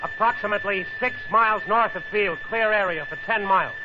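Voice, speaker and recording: An actor playing a shaken Air Force pilot with an American accent, urgent and insistent, in 1950s radio drama style. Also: male voice, high voice, fairly quick, close to an everyday voice. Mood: urgent, tense. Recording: dull, noisy 1950 radio recording.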